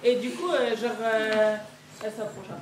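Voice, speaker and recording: A woman's voice: on the high side, moderate pace. A teenage girl narrating in slangy, hesitant speech full of fillers. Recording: echoey hall from far off, noisy.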